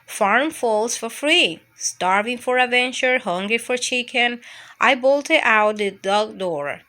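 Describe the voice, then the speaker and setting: A woman's voice: on the high side, expressive, takes it slowly, bright, forceful tone, heavily acted. A woman voicing a mischievous, adventure-hungry dog who tells its own storybook escapade. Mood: cheeky, excited. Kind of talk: storybook first-person narration.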